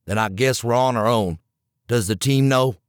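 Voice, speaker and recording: A man reading the part of a loyal young male friend, steady and plain-spoken. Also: mid-range voice, moderate pace, no room sound, studio quality, voice pushed down, close to an everyday voice.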